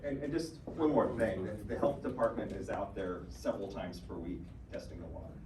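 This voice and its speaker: Low guy answering questions at a meeting, echoey room, kinda far off. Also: expressive, a little fast, noisy background. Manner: plain, explanatory, with filler words. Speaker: harbor official answering questions. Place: community meeting hall.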